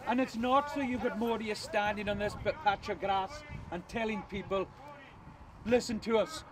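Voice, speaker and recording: A middle-aged man speaking to a protest crowd without a megaphone, projecting his big voice forcefully. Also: high-pitched, steady tone, moderate pace. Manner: loud unamplified address, forceful. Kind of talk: street protest speech. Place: outdoors by a hotel car park. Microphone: far camera, no megaphone. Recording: distant outdoor voice, crowd noise.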